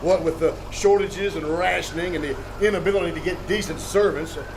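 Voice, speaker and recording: A man's voice: high voice, brisk. A man playing a pompous, wealthy, complaining passenger in a radio comedy, haughty and huffy with stammers. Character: pompous, grumbling, self-important wealthy male bus passenger. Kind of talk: old-time radio comedy acting. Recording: noisy live theater recording.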